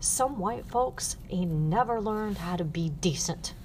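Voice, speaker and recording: A woman's voice: on the low side, lively intonation, normal speed, lightly acted. A woman voicing a protective old Southern US woman in an audiobook, with folksy dialect and noise in the background. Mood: muttering. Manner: soothing, folksy dialect, firm when protecting. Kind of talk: audiobook character voice.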